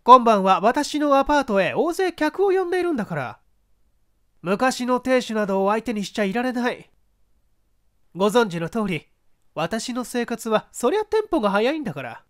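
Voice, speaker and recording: A man's voice: sing-song, normal speed. A man voicing a proud, mocking glamorous actress in a flippant, coquettish, feminine manner for a story reading. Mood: mocking, brisk. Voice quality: mezzo-soprano pitch, feminine. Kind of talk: dramatic audiobook character reading.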